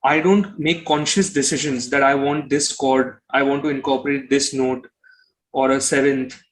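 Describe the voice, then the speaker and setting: A man's voice: medium pitch, steady tone, normal speed, rough recording. A young man with an Indian accent answering casually as an interview guest, explaining things reflectively. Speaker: interview guest, singer-songwriter.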